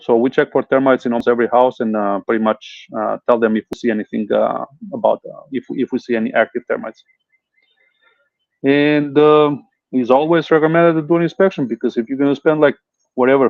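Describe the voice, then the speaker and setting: A man's voice: medium pitch, no room sound. A man who grew up in Italy speaks earnestly, stopping often on hesitant fillers as he explains his work. The sound is close and dry, with a dull lo-fi edge. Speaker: home inspector guest. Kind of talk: interview answers.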